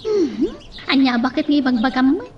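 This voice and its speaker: An actress with an Ilocano accent playing a chatty, teasing young friend in a radio drama. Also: female voice, high-pitched, sing-song, normal speed, crowd noise. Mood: questioning, teasing. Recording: dull, distant sound with faint noise.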